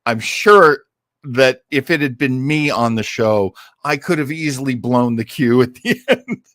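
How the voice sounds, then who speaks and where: A man's voice: medium pitch, measured pace, dry recording, studio quality. A man hosting a listening party with effusive, enthusiastic live commentary. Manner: animated, effusive. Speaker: host of radio drama listening party.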